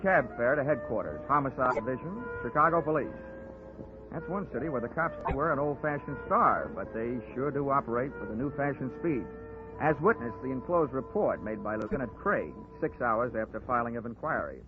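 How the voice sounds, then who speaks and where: A man's voice: medium pitch, expressive, brisk, close to an everyday voice. Actor as a sardonic hard-boiled radio detective man, tinny recording. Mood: dry, narrating. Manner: sardonic, clipped, slangy. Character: wisecracking hard-boiled private insurance investigator, cynical man. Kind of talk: 1940s radio detective acting and narration. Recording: muffled 1940s radio broadcast, music.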